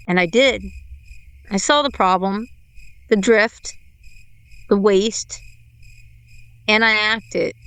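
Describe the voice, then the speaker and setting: A woman playing a weary, self-questioning tech team leader thinking aloud in a halting radio play monologue. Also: medium pitch, smooth, no echo, poor audio. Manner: halting, introspective monologue in short fragments.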